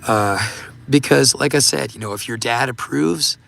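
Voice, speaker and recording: Male livestream host, hoarse and gravelly with a bright edge; rambling, halting delivery full of restarts. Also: mid-range voice. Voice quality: gravelly, voice giving out. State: hoarse, losing his voice. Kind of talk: casual livestream talk reading super chats.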